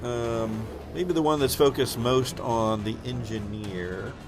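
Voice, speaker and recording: A man with an average-pitched voice runs a tabletop game as game master. He improvises the narration, hesitating and thinking aloud. Soft music plays behind him. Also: slow pace.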